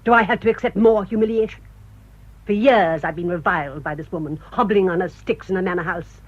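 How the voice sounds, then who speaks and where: A woman's voice: on the low side, fairly quick, close to an everyday voice. Lady acting proud bitter older english spinster, cutting speeches, radio play, muffled recording. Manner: indignant, rhetorical, cutting. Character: bitter, proud spinster nursing old family grudges.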